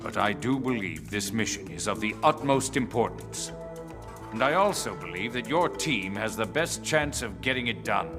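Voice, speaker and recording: A man playing a middle-aged director general in a video game, speaking in a measured, formal, authoritative way. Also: fairly low pitch, expressive, over music. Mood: grave, firm. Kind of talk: video game cutscene acting.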